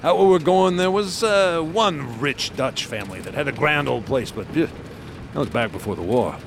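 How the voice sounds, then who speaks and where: A man's voice: medium pitch, expressive, normal speed, vocal fry, background noise. An actor playing a chatty, boastful male state trooper detective who laughs at his own stories, in a radio drama.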